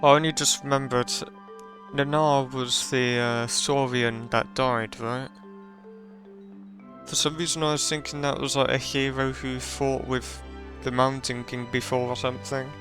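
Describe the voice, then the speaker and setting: A man's voice: medium pitch, little pitch movement, measured pace, over music. A man giving casual gaming commentary, thinking aloud as he plays. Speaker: gaming streamer. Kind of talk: casual game playthrough commentary.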